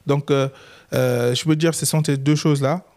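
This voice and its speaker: A man's voice: mid-range voice, normal speed. A young man from Chad with a Chadian French accent, a lawyer guest in a casual interview, telling his story and acting out the dialogue. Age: young adult. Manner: animated, acts out quoted dialogue.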